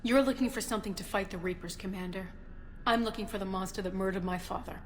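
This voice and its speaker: A woman's voice: medium pitch, fast talker, slight background noise, close to an everyday voice. Earnest, driven young-sounding woman in a game role. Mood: insistent. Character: driven civilian woman, grieving and stubbornly brave. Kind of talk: video game voice acting.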